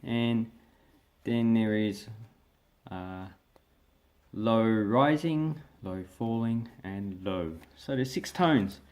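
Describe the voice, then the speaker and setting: An Australian man talks through a casual lesson in a lowish voice. He takes his time, moving at an easy, slow pace and often stopping on fillers and restarts as he points to pages in a book. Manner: relaxed, slow and hesitant, with many fillers and restarts; explains by showing pages from a book. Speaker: language vlogger. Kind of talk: casual spoken lesson to camera.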